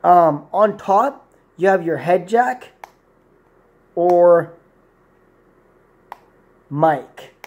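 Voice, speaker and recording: A man's voice: high-pitched, lively intonation, extremely slow, long pauses, highs cut off. A man in his twenties giving a rambling, informal product review to camera in a room at home. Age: 20s. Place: small indoor room at home.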